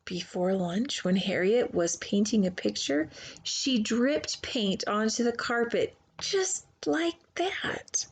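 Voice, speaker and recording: Woman, storybook read-aloud, expressive, slightly high, creaky and husky edges, hushed dramatic emphasis. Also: slow pace. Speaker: children's book reader.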